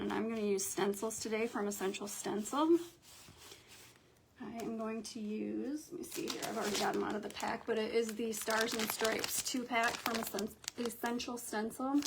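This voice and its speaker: A woman's voice: on the high side, creaky. Young woman crafting live, froggy morning voice, rambles w lots of ums, a little hiss behind. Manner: chatty, thinks aloud, frequent ums. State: hoarse morning voice. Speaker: craft livestream host. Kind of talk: casual crafting livestream chat.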